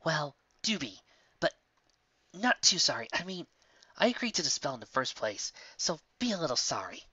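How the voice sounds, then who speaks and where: A man's voice: on the high side, big pitch swings, moderate pace, sharp tone, breathy edge, no echo, lo-fi sound, pitched above the performer's own voice, exaggerated voice. A man voicing a playful, affectionate young female unicorn musician in an exclamatory, teasing way for a storybook.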